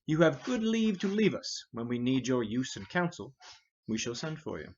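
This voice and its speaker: A man's voice: mid-range voice, big pitch swings, moderate pace, darkish tone, lo-fi sound, slight background noise, close to an everyday voice. A man reading the part of a stern, suspicious king in Shakespearean verse, commanding, accusatory and declamatory. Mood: cold, commanding.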